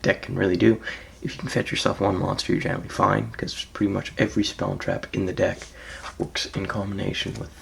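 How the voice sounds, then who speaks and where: A man with a raspy, just-woke-up voice, casually walking through his card deck with frequent ums and soft trailing phrases. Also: low-pitched, flat delivery, a little fast, few pauses, slight background noise. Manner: laid-back, hesitant, frequent ums, trails off softly. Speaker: card game player presenting his deck.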